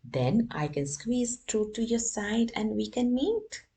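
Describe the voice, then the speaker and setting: A woman's voice: fairly high pitch, big pitch swings, takes it slowly, mellow, pitched above the performer's own voice, heavily acted. A woman voicing Rex, a friendly and eager young male dog, in a read-aloud storybook. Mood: excited.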